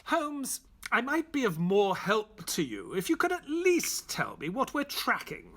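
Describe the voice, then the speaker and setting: An actor playing an earnest, curious doctor and loyal sidekick in an audio drama, polite, questioning and easily astonished. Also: male voice, high voice, huge pitch swings, measured pace, fairly bright tone, full character voice. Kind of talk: audio drama acting with first-person narration.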